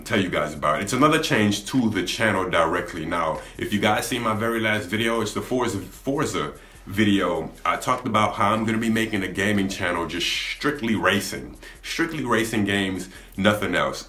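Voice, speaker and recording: A young man with a deep voice, talking candidly to camera in a laid-back, rambling way, often restarting his sentences. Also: sharp tone. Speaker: gaming YouTuber. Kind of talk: candid vlog talk to camera. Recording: echoey room.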